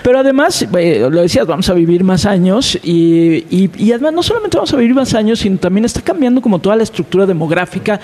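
A woman's voice: mid-range voice, expressive, fast, hardly any pauses, dark tone, long reverb tail. A Mexican woman in her fifties hosting a broadcast interview, talking conversationally with fillers and repeated words. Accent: Mexican Spanish. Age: 50s. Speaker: host.

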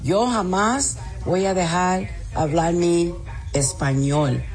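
Slow proud woman caller. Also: lowish voice. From Puerto Rico. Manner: proud, declarative.

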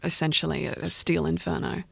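Male radio host, very creaky fry, slightly higher pitch, thoughtful questions. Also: flat delivery, brisk, a bit bright, no echo. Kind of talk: interview host.

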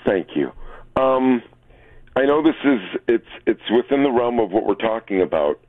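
A man's voice: medium pitch, sing-song, normal speed, no echo. A man in his sixties calling a radio show, chatting casually and hesitantly over a tinny phone line. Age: 60s. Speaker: regular phone-in caller. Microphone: telephone.

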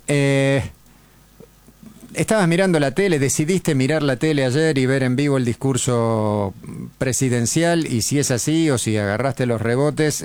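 A man's voice: mid-range voice, a little mellow, smooth, dry recording. A man with an Argentine accent hosting a radio interview, asking long, winding questions. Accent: Argentine Spanish. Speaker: radio host.

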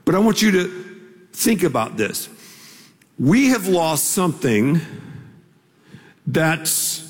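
A man's voice: fairly high pitch, sing-song, slow pace, husky, long reverb tail. A man delivering a sermon, telling a personal story in a conversational way before building to emphatic points. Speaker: pastor.

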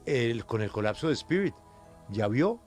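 Guy hosting a morning show, quick teasing banter. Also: mid-range voice, sing-song, a little mellow, faint music behind. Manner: teasing, quick asides to co-hosts. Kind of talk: brisk, joking studio banter.

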